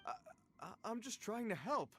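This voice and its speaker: A stiff, formal guard. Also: male voice, high-pitched, big pitch swings, normal speed, a bit bright, slight background noise, full character voice. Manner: formal, flustered with children.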